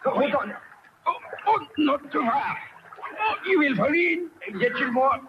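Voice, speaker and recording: A man's voice: very high voice, sing-song, unhurried, heavily acted. An actor playing a genial, helpful Frenchman with a French accent in an old-time radio drama. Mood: straining, urgent. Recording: noisy, muffled old radio recording.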